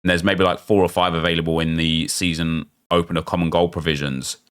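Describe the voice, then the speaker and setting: Low-voiced man, chatty gamer. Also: fairly quick, clean tone. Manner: chatty, excitable, thinking aloud. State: losing his voice, getting unwell. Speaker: gaming YouTuber. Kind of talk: casual pack-opening commentary.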